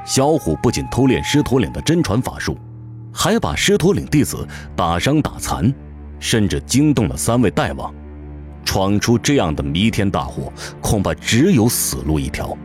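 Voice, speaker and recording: A man's voice: fairly low pitch, big pitch swings, normal speed. A man giving dramatic scripted narration of a fantasy audio novel. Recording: music behind the voice. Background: music.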